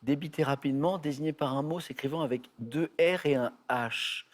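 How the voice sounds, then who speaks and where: A man's voice: a little high, normal speed. Jokey man hosting a TV quiz. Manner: playful, jokey, chatty. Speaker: quiz show host. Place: TV studio.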